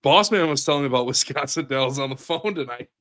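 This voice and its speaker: A man's voice: medium pitch, lively intonation, fast, fairly bright tone. A man co-hosting a live show, laid-back, laughing along and adding wisecracks. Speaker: co-host. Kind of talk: casual banter on a live show.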